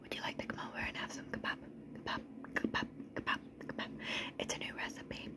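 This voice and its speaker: A woman whispering softly in an ASMR video, repeating trigger words in a slow rhythm with a gentle, playful, soothing manner. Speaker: ASMR creator. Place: indoors at home.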